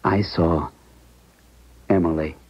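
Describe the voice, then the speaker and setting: Distraught, lowish-voiced widowed professor. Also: male voice, steady tone, breathy edge, exaggerated voice. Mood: distraught, insistent. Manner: agitated, halting, intense. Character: distraught male professor, grieving widower, insistent. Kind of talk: 1970s radio drama acting. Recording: muffled 1970s radio recording.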